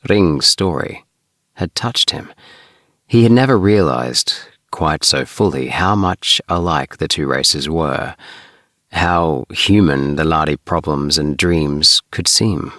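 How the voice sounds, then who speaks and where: A man's voice: very deep voice, slow pace, sharp tone, dry recording, clean studio sound. A middle-aged man reading a story aloud as bedtime narration. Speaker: amateur audiobook reader. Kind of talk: scripted story narration for sleep.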